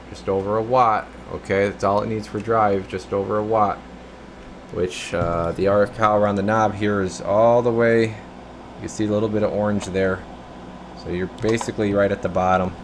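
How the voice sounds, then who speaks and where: Male technician host, lowish pitch, casual and methodical demo narration, matter-of-fact, slight background hum. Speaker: radio technician, channel host. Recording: steady room hum and background noise.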